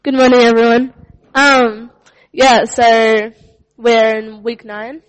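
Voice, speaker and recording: A young woman from Western Australia gives an earnest testimony in a slightly high, flat voice. She talks in loose runs, leaning on "like" between thoughts. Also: takes it slowly, poor audio. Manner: earnest, fills with "like". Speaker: student sharing a testimony. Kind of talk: personal testimony.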